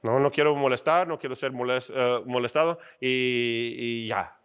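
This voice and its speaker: A middle-aged man preaching a sermon to his church in a chatty, animated way, acting out short scenes and checking in with his listeners. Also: mid-range voice, normal speed, smooth. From outside Mexico. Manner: conversational, animated, acts out little scenes and asks "¿no?". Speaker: pastor. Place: church stage.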